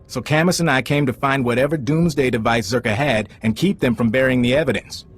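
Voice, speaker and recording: An actor playing a frank intelligence agent giving an informal, wry briefing in a video game. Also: male voice, medium pitch, a little fast, close to an everyday voice. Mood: urgent, dry.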